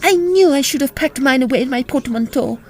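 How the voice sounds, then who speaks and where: Woman playing a high-voiced, gracious prima donna. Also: lively intonation, a little fast, faint music behind. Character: gracious, theatrical female opera singer. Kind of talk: farcical period audio drama acting.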